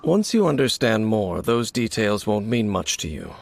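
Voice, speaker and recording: A stoic fantasy game warrior who knows dark secrets. His voice is low and solemn, and he speaks in measured, formal phrases over a faint background noise. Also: big pitch swings, vocal fry. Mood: grim. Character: grave, stoic, knowing male warrior guide. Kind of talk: dramatic fantasy video game acting.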